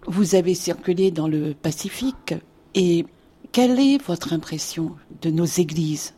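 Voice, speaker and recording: Mellow, husky woman radio host. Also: lowish voice, a little slow, soft background music.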